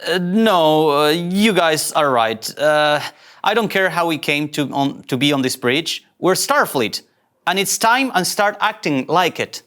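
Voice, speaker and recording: An actor playing an insecure, excitable fake starship captain, earnest and grandiose yet easily flustered, at a sci-fi table read. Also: mid-range voice, measured pace. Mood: rallying.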